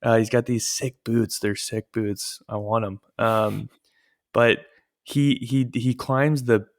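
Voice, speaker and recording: A man with a booming voice talks loosely on a home podcast mic, rambling from one thought to the next and often breaking into laughter. His pitch sits in an ordinary middle range. The delivery is relaxed casual chat among friends. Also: slow pace, no echo, studio quality. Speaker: podcast co-host.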